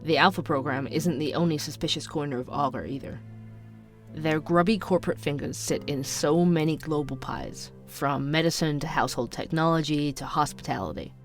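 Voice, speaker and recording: A man's voice: a little high, moderate pace, lightly acted. Actor as a sardonic young male podcaster over music. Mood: suspicious, sardonic. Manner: wry, conspiratorial, conversational. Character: sardonic, suspicious young coffee-shop night worker turned podcaster. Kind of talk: first-person podcast narration in audio drama.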